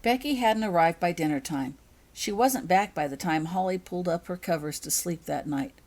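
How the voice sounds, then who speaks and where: Low-pitched woman reading a story. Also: moderate pace, darkish tone. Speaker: author reading own story. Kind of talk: scripted audiobook narration.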